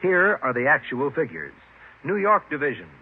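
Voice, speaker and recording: Lively, husky American radio pitchman. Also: moderate pace. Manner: emphatic, salesmanlike. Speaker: commercial announcer. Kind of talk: 1950s radio advertising pitch. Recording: muffled narrow-band old radio recording.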